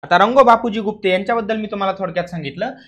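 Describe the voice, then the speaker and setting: A man's voice: sits high, very fast, a bit bright. A man with a Maharashtrian accent lecturing as an exam coaching teacher, emphatically repeating key points and putting questions to students that he answers himself.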